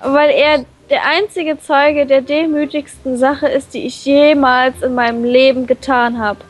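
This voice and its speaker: A high-pitched woman narrates a visual novel casually and slowly. She reads like someone sharing a game with friends, with music playing behind her. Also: fairly even intonation. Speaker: co-reader in a group visual novel let's play. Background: background game music.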